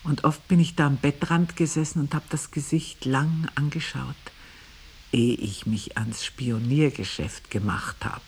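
A woman playing a bitter, earthy older maidservant, telling her story in a dramatic monologue with a husky voice. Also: very deep voice, measured pace, a little hiss or hum. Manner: scornful, vivid, shifting between murmur and emphasis.

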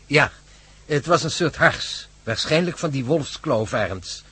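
Very husky, careful professor. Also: male voice, medium pitch, expressive, a little slow, bright, forceful tone, full character voice. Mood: explanatory. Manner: precise, explanatory. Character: careful, learned male archaeology professor. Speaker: actor in a radio play. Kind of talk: Dutch radio drama acting.

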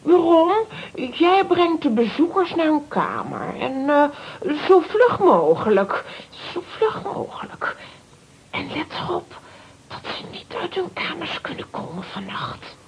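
A woman acting excitable, nervous ladies in a children's radio play, with animated, exclamatory delivery. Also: sits high, slow, muffled recording, heavily acted.

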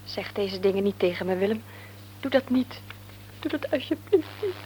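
A woman's voice: mid-range voice, animated, normal speed, a little mellow, a little hiss or hum, lightly acted, low-quality recording. An actress playing a middle-aged devout mother in a radio play, pleading and often dropping to a whisper. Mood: tearful. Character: devout mother, gentle but firm in faith, middle-aged woman. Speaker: mother and wife.

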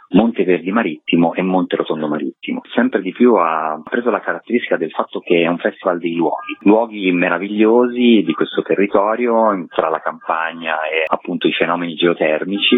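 A man with an extremely deep, husky voice explains things as a festival organiser being interviewed. His voice arrives through a narrow, phone-like line. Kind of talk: explanatory radio interview answers.

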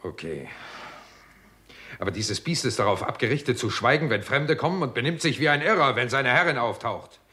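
A man's voice: medium pitch, animated, a little fast, sharp tone. A man playing a shrewd, composed investigator in a 1960s German radio crime drama, pointed in his questioning. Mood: wary, wry. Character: shrewd, composed investigator coolly laying out a case.

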